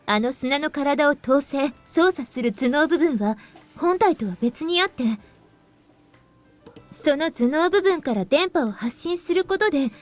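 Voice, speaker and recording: Clever anime schoolgirl, visual novel, high-pitched, rapid, assertive, emphatic. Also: animated, soft background music. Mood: confident, explaining. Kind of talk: Japanese visual novel voice acting.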